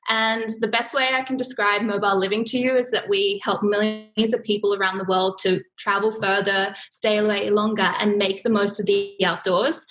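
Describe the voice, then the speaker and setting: A woman with a faint Australian lilt that has faded after years abroad, chatting easily as an interview guest. She fills her answers with likes, ums and small restarts, and her pitch sits in an ordinary middle range. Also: fairly bright tone, low-quality recording. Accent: mild Australian, softened by years in Sweden. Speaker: guest, content marketing manager. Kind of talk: remote podcast interview.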